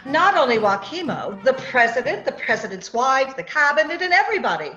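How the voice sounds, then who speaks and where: An eager, scheming young confidante in a radio play, acted by a woman. Her voice is high and very animated, set in an echoey room with clear music behind. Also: a little fast, highs cut off, heavily acted. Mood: brisk, amused. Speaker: radio drama actor. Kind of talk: old-time radio drama acting. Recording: echoey video-call recording.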